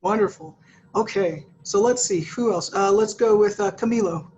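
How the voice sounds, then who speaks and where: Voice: mid-range voice, normal speed, a little mellow. A panel moderator hosting an online artist panel over a video call, keeping things moving with brief, upbeat interjections. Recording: slightly muffled call audio.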